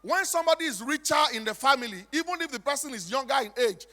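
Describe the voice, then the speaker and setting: A man with a Nigerian accent and a strikingly high, bright voice tells stories in a preacher's style. He drives points home by repeating them and throwing questions at his listeners. His words ring through a hall with long reverb. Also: animated, fast. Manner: emphatic, repetitive, with rhetorical questions. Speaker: stage speaker and comic storyteller. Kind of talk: animated preaching-style storytelling to an audience.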